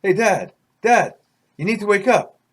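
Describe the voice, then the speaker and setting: A man's voice: high-pitched, lively intonation, measured pace, voice pushed up. A man voicing an alarmed son in a story, urgently calling to his sleeping father to wake him.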